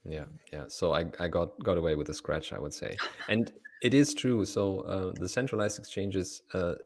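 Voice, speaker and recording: A man hosting an interview in a measured, deliberate way, with hesitant phrasing. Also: sits low, gravelly, darkish tone, no echo. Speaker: podcast host.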